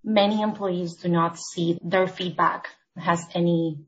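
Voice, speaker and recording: A woman from Argentina, a consultant guest on a podcast, explaining her points in a measured, structured way. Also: fairly low pitch, fairly even intonation, lo-fi sound, a little hiss or hum. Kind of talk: podcast interview.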